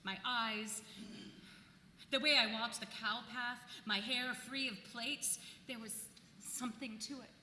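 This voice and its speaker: A woman's voice: fairly high pitch, fairly even intonation, a little slow, bright, forceful tone. A woman playing a bitter, defiant colonial wife accused of witchcraft in an intense, theatrical play reading. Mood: bitter, weary. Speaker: stage actress. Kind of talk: live dramatic reading of a play. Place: live reading in a church venue. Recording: echoey hall, faint background noise.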